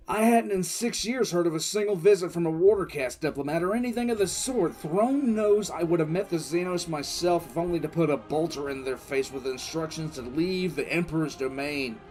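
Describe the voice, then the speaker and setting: A man acts out a grim veteran commissar telling his war story in an audio drama. The voice sits a little high, brooding and halting, with weighty emphasis. Music plays faintly underneath. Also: darkish tone, close to an everyday voice. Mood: bitter, resolute. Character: grim veteran military commissar recounting a past battle. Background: background music.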